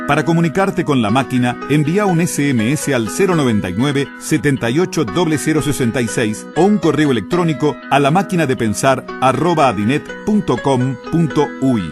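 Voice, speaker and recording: Male announcer, measured scripted read over prominent guitar bed. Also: medium pitch, animated. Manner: slow, measured delivery. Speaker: radio announcer. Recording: radio spot over loud guitar.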